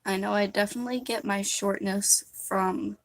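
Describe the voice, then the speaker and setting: A woman's voice: mid-range voice, fairly even intonation, normal speed. A young woman chatting casually and musingly while live streaming a makeup session, rambling between thoughts. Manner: rambling, soft-spoken and musing.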